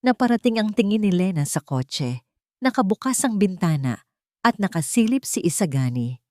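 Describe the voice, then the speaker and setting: A woman's voice: mid-range voice, very animated, normal speed, darkish tone, no echo, studio quality. A woman narrator reading a scripted story in a dramatic style.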